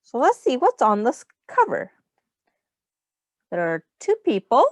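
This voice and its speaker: Slow, very lively woman reading to children. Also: on the high side, no echo, clean studio sound. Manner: didactic, simple phrasing with pauses for questions. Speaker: teacher reading aloud to young children. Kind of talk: picture-book read-aloud with explanations and questions.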